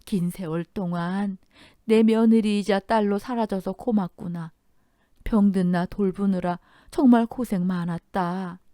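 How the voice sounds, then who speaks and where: A woman voicing a frail, dying old mother-in-law in a Korean radio story, tender and sentimental, with slow, drawn-out reminiscing. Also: medium pitch, steady tone, warm tone, no echo, clean studio sound, pitched above the performer's own voice, natural voice. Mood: tender farewell.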